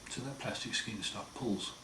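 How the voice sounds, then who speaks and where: A man with a croaky, hoarse voice after throat surgery, talking slowly and wryly in a casual home video diary. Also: fairly low pitch. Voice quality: hoarse, partly breathy. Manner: slow, wry, plain-spoken, often pausing. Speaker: patient sharing his recovery. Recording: roomy echo, far mic, noisy.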